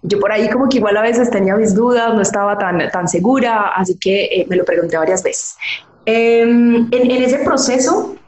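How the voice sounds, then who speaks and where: A woman's voice: fairly high pitch, normal speed, darkish tone. Colombian woman guest, chatty with lots of ums, echoey call audio. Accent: Colombian Spanish. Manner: chatty, storytelling, frequent fillers and restarts. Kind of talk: interview over video call. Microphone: video call.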